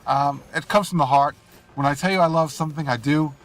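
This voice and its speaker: A man's voice: a little high, brisk, soft background music, rough recording. A man with a cold, sounding nasal and congested, rambling casually and apologetically while he drives. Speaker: gaming video host. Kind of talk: casual rambling commentary over gameplay. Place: in a car while driving.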